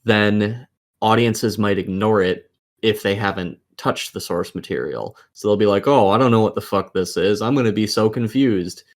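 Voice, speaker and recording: A man with a fairly low voice talks casually as a podcast co-host. He lays out his opinions in an explanatory way, with some offhand swearing. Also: moderate pace. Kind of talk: casual gaming podcast discussion.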